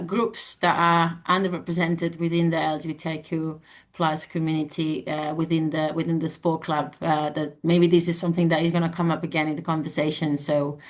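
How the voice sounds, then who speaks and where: Lowish-voiced Spanish-accented woman, halting delivery. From Barcelona, Spain. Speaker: panel guest, sports researcher and club member. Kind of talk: informal self-introduction.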